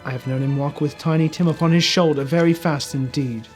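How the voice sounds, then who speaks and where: A man's voice: on the high side, steady tone, a little fast, soft background music, close to an everyday voice. A man voicing an earnest young son in a storybook reading, in a subdued manner.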